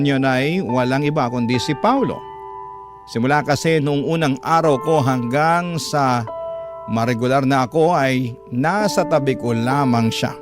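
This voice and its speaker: A man with a Filipino accent narrating a first-person letter as a radio storyteller. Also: mid-range voice. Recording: prominent background music under narration.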